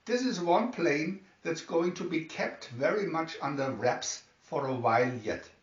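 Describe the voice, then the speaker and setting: A man voicing a calm, fatherly middle-aged man in an adventure storybook, speaking in a measured, reasonable way. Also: fairly high pitch. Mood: calm, interested. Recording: roomy, distant, slightly dull home recording.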